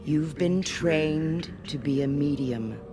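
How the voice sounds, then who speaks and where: Casting: a cold, obsessive female schoolteacher, lowish voice that drills and commands, radio play with prominent music underneath. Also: slow pace. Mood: cold, accusing. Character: stern, domineering female schoolteacher, coldly obsessive. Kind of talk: vintage-style dramatic radio acting.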